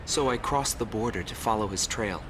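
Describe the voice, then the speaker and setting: An actor playing a sober documentary narrator, speaking in a measured, solemn way. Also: male voice, on the low side, noisy background, natural voice. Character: sober documentary narrator following a war pilot's trail.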